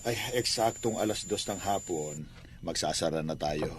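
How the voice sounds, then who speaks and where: A man's voice: low-pitched, moderate pace. An actor playing a devout, kindly Filipino father who runs a small store, earnest and fatherly, in a radio drama. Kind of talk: radio drama acting in Tagalog. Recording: noisy radio broadcast playback.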